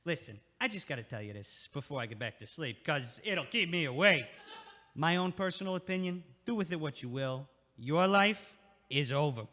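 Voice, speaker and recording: An actor in an audio play voicing a pushy, meddling New York night-shift neighbor, blunt and sarcastic. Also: male voice, fairly high pitch, expressive, moderate pace, sharp tone. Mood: smug, needling. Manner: blunt, sarcastic, street-talking. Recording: echoey, long hallway-like reverb.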